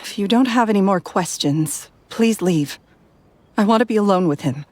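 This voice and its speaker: A woman's voice: medium pitch, expressive, normal speed, darkish tone, a little hiss or hum, lightly acted. A woman playing a wary, weary, grieving young woman in a video game, speaking hesitantly. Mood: guarded, weary.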